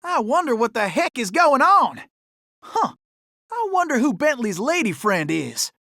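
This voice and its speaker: An actor voicing a cocky, folksy raccoon gunslinger with a Southern cowboy drawl for an animated video game. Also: male voice, very high voice, unhurried, no room sound, studio quality, full character voice. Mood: folksy, musing. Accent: American Southern cowboy drawl. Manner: folksy, excitable, exclamatory cowboy slang. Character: cocky, folksy cartoon Old West raccoon gunslinger, male.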